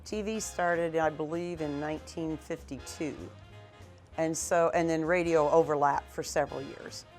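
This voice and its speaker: A woman's voice: deep voice, steady tone, normal speed, a little mellow, soft background music. A woman cheerfully describing her radio theater group in an interview.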